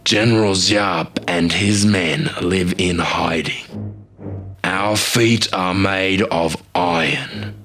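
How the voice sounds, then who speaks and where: A man narrating a dramatic war script with a grave, deliberate delivery. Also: low voice, fairly even intonation. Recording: loud music behind the voice. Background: loud music.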